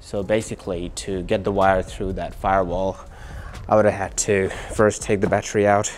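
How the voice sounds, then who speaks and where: A man's voice: deep voice, flat delivery, normal speed, a little hiss or hum. A man giving a casual hands-on explainer for a vlog, informal and thinking aloud as he works. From Australia. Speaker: vlogger showing a DIY car radio install.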